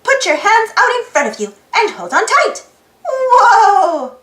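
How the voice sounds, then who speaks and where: Very high child-like voice, swooping animated intonation, exclaiming read-aloud for kids, echoey room. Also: slow. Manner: exclaiming, prompts young listeners with questions. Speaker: storybook reader and channel host.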